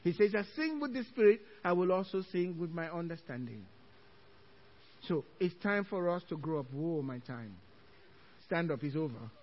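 A Nigerian-accented male pastor with a notably high voice preaches to his church, quoting scripture emphatically and breaking into chuckling asides. The recording is dull and lo-fi. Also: sing-song, moderate pace. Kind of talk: evening church sermon. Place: church sanctuary.

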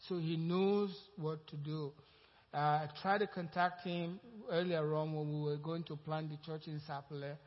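A man with a Nigerian accent, speaking as a pastor to his congregation in a rambling, conversational way. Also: on the high side. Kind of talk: informal sermon announcement. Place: church sanctuary. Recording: muffled church hall sound with long reverb.

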